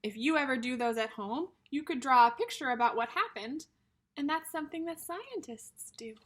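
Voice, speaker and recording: Female early-years teacher, high-pitched and slightly husky, animated and emphatic, delivering a children's read-aloud lesson. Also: normal speed. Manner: instructional, addressing young children with simple questions and repetition.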